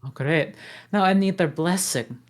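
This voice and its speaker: A gruff, sarcastic male ogre husband, read by a woman at a table read. The voice sits low and dry, with a grumbling sarcastic edge. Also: measured pace, lightly acted. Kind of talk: table read of a film script.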